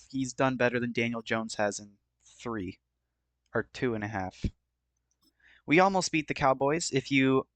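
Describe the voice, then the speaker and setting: A man giving casual play-by-play commentary as host of a gaming video, his voice slightly hoarse. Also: mid-range voice, fairly bright tone, no room sound.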